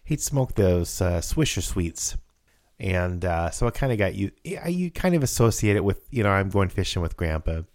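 A man with a raspy voice in casual conversation, reminiscing in a halting way with frequent restarts. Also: deep voice, sing-song, darkish tone, no room sound, studio quality. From South Dakota, United States. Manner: halting, many restarts and fillers. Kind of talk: casual chat.

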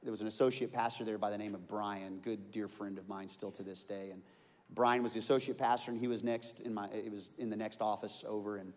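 A pastor in his forties preaching a sermon, telling stories and swinging from quiet asides to loud outbursts. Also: on the low side, steady tone, brisk. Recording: long hall reverb.

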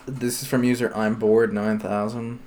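A man co-hosting a podcast in casual chat who reads forum posts aloud in a dry, deadpan way. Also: fairly low pitch, normal speed, slight background noise. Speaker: podcast co-host.

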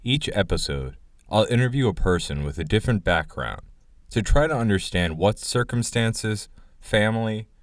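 A man hosting a podcast, asking relaxed, friendly questions. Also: on the low side, moderate pace, far from the mic. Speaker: podcast host. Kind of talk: podcast interview. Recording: roomy, off-mic, faint noise.

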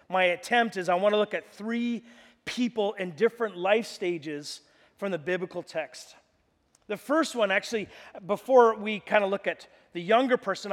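Male pastor, high-pitched, loud and animated, preaching in a church hall with long reverb. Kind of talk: sermon.

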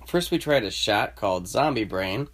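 A man's voice: on the low side, expressive, normal speed, a bit bright. A man hosting a podcast, chatting loosely and rambling after a few drinks. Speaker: podcast host. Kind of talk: casual chat.

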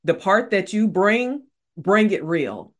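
An earnest woman speaking as a guest in a relaxed interview. Her pitch sits in the middle of the range, and she stresses her points by repeating phrases. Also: slow pace. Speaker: author and leadership speaker, guest. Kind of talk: casual interview conversation.

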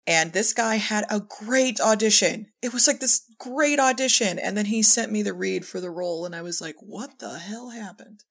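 A middle-aged woman with a husky, gravelly voice telling anecdotes in a casual interview as a director, animatedly quoting herself and others. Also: medium pitch, normal speed, few pauses. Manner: animated storyteller, acts out quoted remarks. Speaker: podcast guest, audio drama director.

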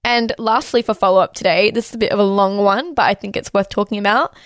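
A young woman hosts a podcast in easy, chatty talk, peppering her lines with "like" and "uh". Her voice is a little hoarse from a sore throat, yet smooth and clear, recorded dry and close with no room sound. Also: medium pitch, steady tone, no room sound. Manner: chatty, fast-flowing, with "like" and "uh" fillers. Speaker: podcast host. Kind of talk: casual podcast chat.

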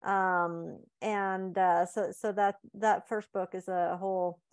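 A woman in her forties from Sweden, a guest author talking in a casual interview, thoughtful and halting, with many fillers and restarts. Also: mid-range voice, little pitch movement, clean tone.

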